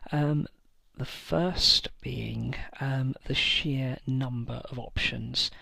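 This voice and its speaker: A man talks casually to his viewers about building army lists, pausing often to gather his thoughts. His voice has a gravelly fry, yet a bright, forceful edge. The recording is close and dry, with no room sound around him. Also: medium pitch, fairly even intonation, no echo. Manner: hesitant, many fillers and pauses. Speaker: tabletop wargaming channel host. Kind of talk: casual list-building talk to camera.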